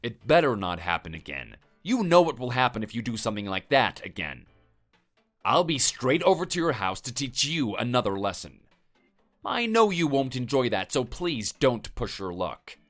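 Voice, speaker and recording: A man's voice: mid-range voice, very animated, normal speed, a bit bright, soft background music. A man playing a stern, menacing husband. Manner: threatening, lecturing. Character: stern, menacing husband defending his wife.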